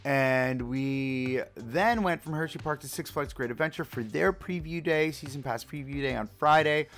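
A man with a voice gone a little raspy and hoarse talks casually over his game build, upbeat and rambling, full of fillers and restarts. His pitch sits in an ordinary middle range. Faint music plays behind him. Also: moderate pace, a bit bright. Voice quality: slightly raspy. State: hoarse from screaming. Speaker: let's play host.

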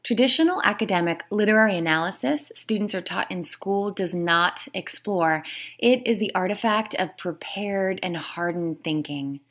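A woman author reading her nonfiction book aloud in a measured, explanatory way. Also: mid-range voice, a bit bright. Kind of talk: scripted read-aloud of nonfiction.

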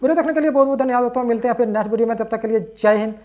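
A man's voice: extremely high pitch, rapid-fire, a little mellow, slight background noise. A man in his thirties presenting a video channel, addressing viewers in eager, repetitive presenter patter. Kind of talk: scripted vlog commentary to viewers.

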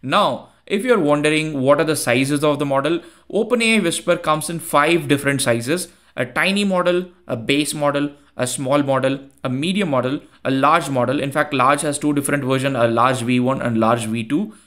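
A man in his thirties with a thick Indian accent, a Tamil speaker, explaining software in a screen-share tutorial over a headset mic. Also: fairly high pitch, moderate pace, hardly any pauses. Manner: earnest, repeats phrases for emphasis. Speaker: tech YouTuber.

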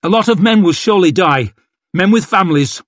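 A man's voice: sits high, animated, brisk, no room sound, pitched above the performer's own voice. A man voicing an earnest, dutiful young lieutenant who speaks respectfully.

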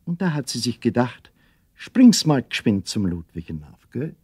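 A man's voice: medium pitch, sing-song, normal speed, darkish tone, breathy edge, no echo. A man acting as the narrator of a children's radio play, telling the story in scripted narration. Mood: measured narration. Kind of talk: scripted audio-drama narration.

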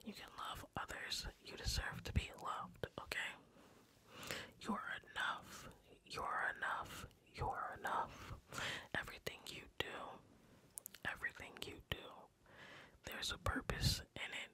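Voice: a little slow, bright, forceful tone. An ASMR creator whispering guided breathing and affirmations, repeating each phrase in a reassuring way.

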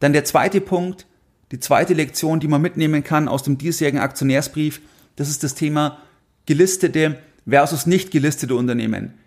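This is a man presenting a podcast on his own. His voice sits a little higher than most men's. He explains things directly to the listener, often repeating a phrase to underline it. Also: normal speed, a little mellow. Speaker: finance podcast host.

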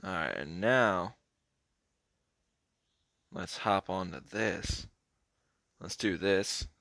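A hoarse young man talks through a mobile card game in a slow, unhurried drawl, his voice sitting on the low side. He often lets his words fade into a mumble. The sound is dry and close, with no room around it. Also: a bit bright, no room sound. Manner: laid-back, mumbled, trailing off. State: hoarse, keeps clearing throat. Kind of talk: casual gameplay commentary.

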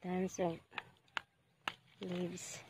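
A woman's voice: lowish voice, monotone, background noise. A woman with a Filipino English accent, casually narrating her home cooking to viewers, filmed on a handheld phone. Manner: slow, chatty, speaking to viewers as she cooks. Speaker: home cook and vlogger. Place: home garden and kitchen.

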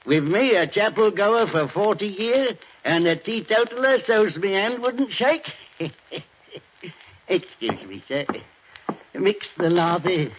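A chuckling old Cockney barber. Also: male voice, high voice, expressive, takes it slowly. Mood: chatty. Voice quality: chatty, chuckling, deferential. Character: little old Cockney barber, chatty and jokey. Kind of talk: 1940s radio acting. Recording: dull old radio recording with faint hiss.

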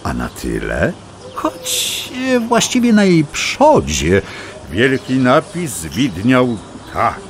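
A man playing a whimsical storyteller, narrating a children's tale theatrically with rhymes and dramatic pauses. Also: medium pitch, slight background noise, exaggerated voice. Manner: expressive, rhyming, dramatic pauses.

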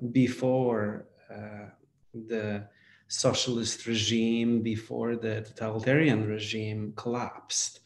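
A man in his forties from Poland, a guest telling his life story in a halting way, with many fillers. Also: mid-range voice, vocal fry, a little mellow, reverberant. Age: 40s. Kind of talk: reflective conversation.

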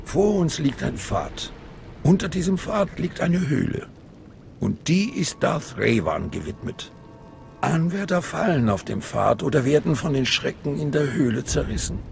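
A man playing an ominous older cult master in a video game, with a hushed, menacing intensity. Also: a little high, very animated, measured pace, vocal fry, a little hiss or hum, full character voice. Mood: grave, commanding. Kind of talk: dramatic video game voice acting in German.

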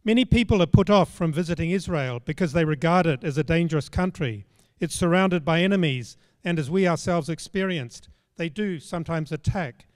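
An older man preaching a sermon on a church stage into a handheld microphone, in a measured storytelling manner. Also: fairly high pitch, no room sound, long reverb tail. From Australia. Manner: measured, storytelling, plain spoken.

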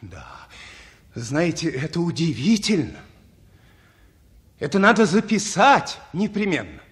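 An actor playing an odd, nervous, deeply moved lodger in a radio play. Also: male voice, big pitch swings, unhurried, a bit bright. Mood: moved, agitated.

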